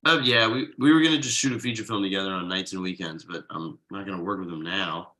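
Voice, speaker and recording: A man's voice: sits low, quick pace, bright, forceful tone. A man playing an exasperated, wronged friend and young father in a comedy table read, stammering and incredulous in an emphatic, conversational way. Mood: flustered.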